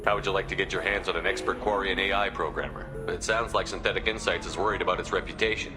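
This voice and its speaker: An actor playing a steady, decisive human military commander in a video game. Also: male voice, fast, big-room sound, close to an everyday voice. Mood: firm, decisive. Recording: game audio with music and rumble behind.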